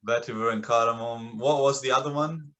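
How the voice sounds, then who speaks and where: A man's voice: medium pitch, steady tone, a little fast, bright, forceful tone, muffled recording. A man who collects perfume, thinking aloud in a casual chat, hesitant and full of fillers as he guesses scents. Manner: thoughtful, hesitant, many fillers. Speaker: perfume collector answering questions.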